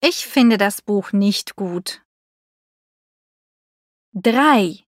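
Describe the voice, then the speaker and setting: A woman speaking short scripted lines for a language listening test, pronouncing each word carefully and deliberately. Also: mid-range voice, animated, no echo, clean studio sound. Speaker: speaker in exam recordings.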